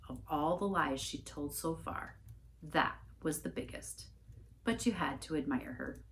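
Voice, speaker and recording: A woman reading a storybook aloud in an expressive narrating style. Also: deep voice, measured pace, low-quality recording. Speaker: story reader, church staff member.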